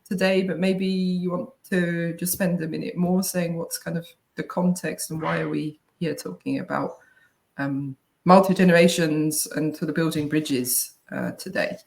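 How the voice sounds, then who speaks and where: A woman's voice: lowish voice, fairly even intonation, moderate pace, creaky, darkish tone. Millennial woman co-hosting a webinar. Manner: casual, uses tag "right?" often. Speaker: head of client accounts, co-host. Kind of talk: webinar conversation. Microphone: video call.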